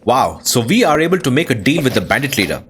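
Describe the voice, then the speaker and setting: A man's voice: medium pitch, sing-song, quick pace, fairly bright tone, a little hiss or hum. A man hosting a gaming video with casual playthrough commentary, reacting aloud as he plays.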